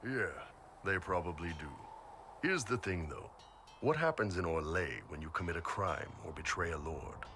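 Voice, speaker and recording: Very deep, blunt warrior captain. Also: male voice, animated, moderate pace, bright, forceful tone, slight background noise, heavily acted. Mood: casual, explanatory. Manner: laid-back, blunt, colloquial. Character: huge horned warrior mercenary captain, blunt, easygoing, shrewd. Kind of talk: video game dialogue.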